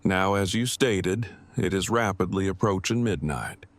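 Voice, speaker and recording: A man's voice: low-pitched, measured pace. An actor playing an earnest, long-winded nerdy man in an audio drama, with formal and precise wording. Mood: awkward, agreeable. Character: earnest, awkward, verbose nerdy man newly in love.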